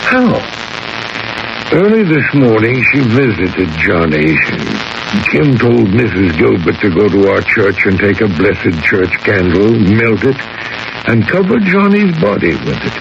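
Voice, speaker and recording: Grave, gravelly-edged priest, expressive delivery. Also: male voice, lowish voice, heavily acted. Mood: grave, troubled. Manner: measured, earnest, probing questions with deliberate emphasis. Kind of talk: 1970s radio drama acting. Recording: hissy, dull 1970s radio broadcast.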